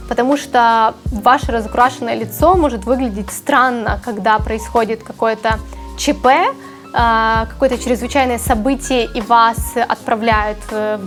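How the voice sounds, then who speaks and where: Higher-pitched woman, native Ukrainian speaker. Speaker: journalist giving career advice to viewers. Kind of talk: explanatory advice vlog to camera.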